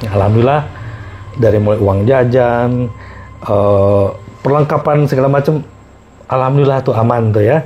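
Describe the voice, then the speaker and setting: A middle-aged man telling personal stories casually as a podcast guest, often pausing and repeating himself to find words. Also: medium pitch, darkish tone, highs cut off, a little hiss or hum. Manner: halting, repeats phrases as he searches for words. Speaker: podcast guest recounting past experiences.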